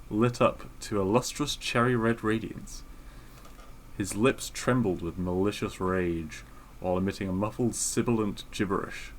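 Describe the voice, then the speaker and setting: A man with a fairly low voice co-hosts a casual podcast. He moves between reading a story aloud and informal chat, with a little noise behind him. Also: low-quality recording. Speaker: podcast co-host.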